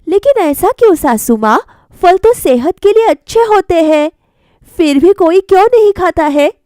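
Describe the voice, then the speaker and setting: A woman's voice: extremely high pitch, animated, normal speed, dark tone, no room sound, clean studio sound, voice pushed up. A woman voicing an earnest young daughter-in-law in a storybook, sweetly insistent and coaxing as she questions. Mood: puzzled, questioning.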